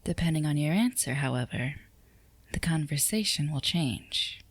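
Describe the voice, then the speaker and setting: A woman acts out a poised female CEO with a low voice, recorded very dry and close. She is flirtatious and composed, teasing the listener in formal, unhurried phrases, in the manner of an ASMR roleplay. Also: animated, breathy edge, no echo, full character voice. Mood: flirty, inviting.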